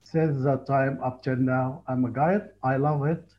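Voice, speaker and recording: A man in his forties from Egypt with an Egyptian accent, telling his life story in a halting, earnest way over a call. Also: mid-range voice, steady tone. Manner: halting, earnest, frequent restarts. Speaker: tour guide and Egyptologist. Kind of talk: casual interview on a call. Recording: muffled lo-fi call audio.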